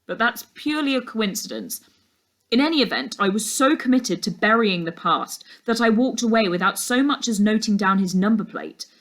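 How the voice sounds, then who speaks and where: A woman's voice: fairly high pitch, expressive, normal speed, a bit bright, pitched above the performer's own voice. A woman voicing a brooding, burdened male detective in a storybook, speaking earnestly and emphatically. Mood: confessional, intense.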